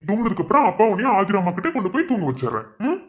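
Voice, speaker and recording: A man's voice: sits high, sing-song, racing, dull audio. A man playing a concerned young husband and father in a family melodrama, chiding yet reassuring. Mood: pensive. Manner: chiding, then soothing. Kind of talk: melodramatic family serial acting.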